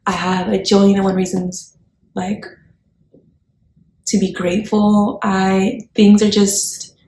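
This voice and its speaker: A woman's voice: mid-range voice, flat delivery, slow, dark tone. A woman in her twenties from Texas with an American accent, talking in a chatty, slangy, conversational way as she shares personal stories with viewers. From Texas, United States. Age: 20s. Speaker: YouTube vlogger. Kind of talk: casual personal storytelling to viewers. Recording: echoey room, faint background noise.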